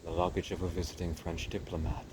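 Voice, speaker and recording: A man giving a dramatic, measured reading of a storybook as narrator. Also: low voice, level tone, noisy background.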